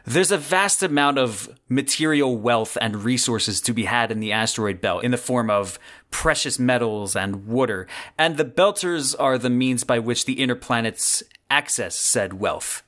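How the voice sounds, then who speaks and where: A man hosting a podcast, giving a scripted explanation with earnest, deliberate phrasing and occasional conversational asides. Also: medium pitch, animated, fairly bright tone. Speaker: podcast host.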